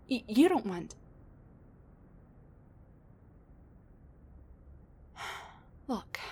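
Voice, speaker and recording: A woman playing a sassy, rambling wounded supervillain with a soft side, talking intimately to the listener in a roleplay audio drama, with breathy asides and sighs. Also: a little high. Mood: flustered.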